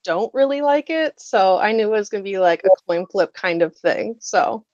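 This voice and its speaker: A woman's voice: medium pitch, animated, normal speed, a little mellow, no echo. A woman hosting a casual book chat on a group call, speaking informally as she steers the talk. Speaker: host of a readalong live show. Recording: dry, dull lo-fi call audio.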